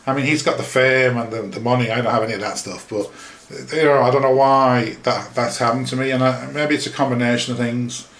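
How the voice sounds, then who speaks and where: A man's voice: medium pitch, fairly even intonation, fairly quick, a bit bright. Chatty Yorkshire man in an echoey room. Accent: Yorkshire English with a slight Liverpool tinge. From Yorkshire, England. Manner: chatty, self-deprecating, many fillers and restarts. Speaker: podcast host. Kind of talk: casual rambling talk over a screen-shared map.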